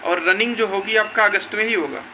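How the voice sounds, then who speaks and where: A man's voice: sits high, brisk, bright, forceful tone, a little hiss or hum, poor audio. A young man gives an informal update to viewers in an emphatic, insistent way, often repeating his points. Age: young adult. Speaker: exam-news video creator.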